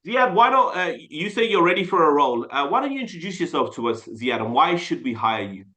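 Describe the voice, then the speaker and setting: A man with a bright, forceful voice leads a live online sales class over a call. He talks in an animated, loose way, repeating himself and peppering his talk with "like" and "uh". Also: a little high, fairly quick. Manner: animated, repeats phrases, frequent "like" and "uh". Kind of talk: casual live coaching session. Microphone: video call mic.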